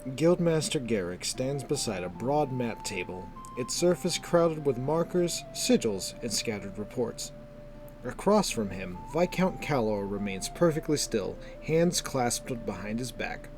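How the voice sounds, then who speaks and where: Male narrator for scripted fantasy: unhurried, dramatic, lively intonation. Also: medium pitch, music in the background.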